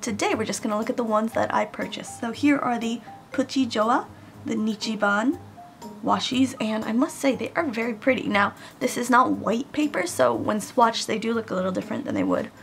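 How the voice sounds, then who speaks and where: A woman's voice: a little high, expressive, moderate pace, vocal fry, soft background music. A woman getting over a cold, chatting casually to viewers as she unboxes a craft haul at home. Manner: chatty, addresses viewers directly. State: recovering from a cold. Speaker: craft vlogger. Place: home room with air conditioning, in Japan.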